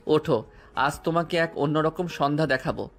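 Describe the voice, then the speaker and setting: A man playing a tender, persistent young student in love, speaking softly and earnestly in an audio drama. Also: on the high side, normal speed, soft background music, natural voice. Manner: soft, earnest, romantic delivery.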